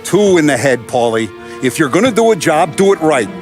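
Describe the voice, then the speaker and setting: Commanding mafia boss. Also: male voice, a little high, sing-song, brisk, over music. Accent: American. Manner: authoritative, blunt, clipped orders. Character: commanding, ruthless older mafia boss, coolly businesslike. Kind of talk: cinematic crime game dialogue.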